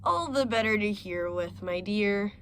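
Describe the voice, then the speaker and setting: A sly, hungry wolf from a read-aloud fairy tale squeaks in a thin, put-on old-lady voice to fool his prey, as a woman puts him on. The voice sits high and strained, with a cunning edge under the false sweetness. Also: animated, unhurried, fairly bright tone, a little hiss or hum. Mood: falsetto mimicry. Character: sly hungry male wolf, faking a granny voice.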